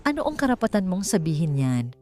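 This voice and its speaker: A cold, domineering businessman father, acted out by a woman narrator in an audiobook story. The delivery is commanding and scornful, with wide swings of pitch. Music plays softly underneath. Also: very animated, brisk. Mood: cold, angry. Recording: music bed behind the voice.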